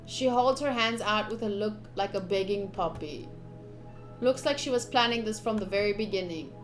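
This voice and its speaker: A young woman streaming a visual novel, reading the text aloud with casual, gushy, expressive commentary. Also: mid-range voice, normal speed. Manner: expressive, gushy, slips into cute exclamations. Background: soft game music.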